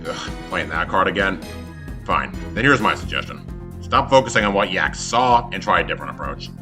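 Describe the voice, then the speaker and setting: A man voicing a grumpy, sarcastic male fennec fox assistant with a gruff delivery. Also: sits low, lively intonation, moderate pace. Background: background music.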